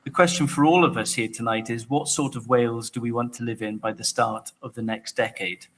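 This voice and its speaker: A man's voice: mid-range voice, fairly even intonation, a little fast, fairly bright tone. A man with an ordinary mid-range voice. He speaks in a composed, rhetorical style at an election hustings. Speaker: election candidate. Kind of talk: hustings speech.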